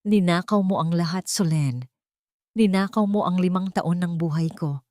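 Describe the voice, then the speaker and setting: A woman's voice: on the low side, normal speed, a little mellow, no room sound, clean studio sound, natural voice. A woman voicing a betrayed, anguished wealthy man in an audio drama, stern and accusing. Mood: anguished anger.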